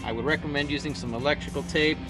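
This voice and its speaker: A man's voice: fairly high pitch, fairly even intonation, quick pace, low-quality recording. A man presenting a step-by-step installation how-to in casual, informal language. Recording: music behind the voice.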